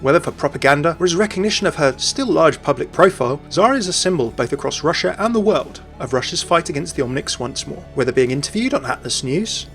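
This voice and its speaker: A man's voice: fairly high pitch, a bit bright. A man hosting and narrating a video series in a scripted, explanatory style about game lore. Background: faint music.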